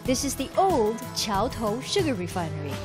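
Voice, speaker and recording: Woman, 30s, travel host; lively tour-guide read, loud music bed. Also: on the high side, normal speed. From United States. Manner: upbeat, chatty tour-guide delivery. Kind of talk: scripted travel narration. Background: loud background music.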